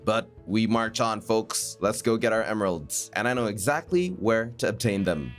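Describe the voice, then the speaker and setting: A man narrating his gameplay in a chatty, self-mocking voice-over, a bit raspy from a cold. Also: fairly low pitch, animated, moderate pace. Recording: faint background music.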